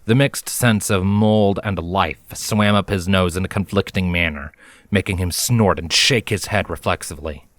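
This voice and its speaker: A man with a low voice narrates fiction from a script. He reads as a storyteller, and the recording is dry, with very little room sound. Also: normal speed, fairly bright tone, dry recording.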